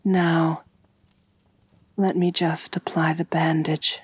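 A woman playing a refined, kind but possessive vampire mistress in a soft, intimate ASMR roleplay, gentle and doting, often near whispering. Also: low voice, flat delivery, slow, no echo, full character voice. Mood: doting, soothing. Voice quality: breathy.

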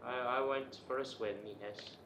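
A young man with a bright, sharp voice chats through a game with a friend, blunt and playful with some swearing. The recording is echoey and faintly noisy. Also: medium pitch, steady tone, measured pace. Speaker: gamer in co-op let's play. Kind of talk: casual gaming chat with a friend.

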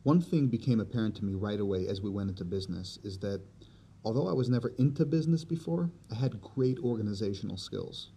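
A young man recounts his past in a loose, conversational podcast monologue. His voice sits a little low and sounds dark and mellow. Faint ambience hums under the recording. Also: fast talker, slight background noise. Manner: conversational, tag questions like "right?". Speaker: podcast host. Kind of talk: personal storytelling monologue.

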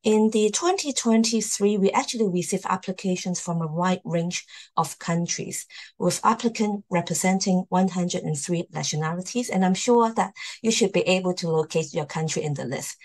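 A woman's voice: on the low side, normal speed, darkish tone. A woman hosting and presenting in a formal slide talk over a video call. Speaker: host, university admissions presenter.

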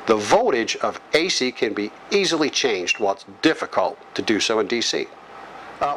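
A middle-aged American man giving an instructional lecture, explaining in short, measured phrases. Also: fairly low pitch, a bit bright, a little hiss or hum, rough recording. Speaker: electrical training instructor. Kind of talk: instructional lecture read from slides. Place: small office or classroom with whiteboard, indoors. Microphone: lapel microphone.

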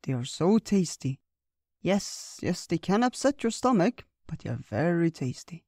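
Man voicing an old bear, kindly, wise, slow and gentle, dry close sound. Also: sits high, sing-song, no room sound, exaggerated voice. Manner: gentle, unhurried, storytelling.

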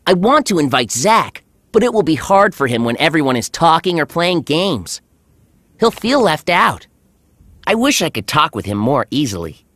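A performer playing an earnest, friendly schoolboy who narrates a children's animated story in a measured, clearly enunciated way. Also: medium pitch, no echo. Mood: worried.

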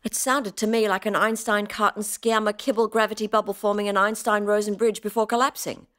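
An actress playing a brusque, unimpressed retired scientist in an audio sci-fi comedy, with a dry, curt manner. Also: female voice, mid-range voice, flat delivery, brisk, a bit bright, natural voice. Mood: brisk.

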